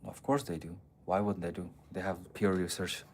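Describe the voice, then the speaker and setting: A man's voice: low voice, level tone, moderate pace, darkish tone. A man on a casual live stream, answering viewer comments in a blunt, profane, argumentative way. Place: city streets outdoors.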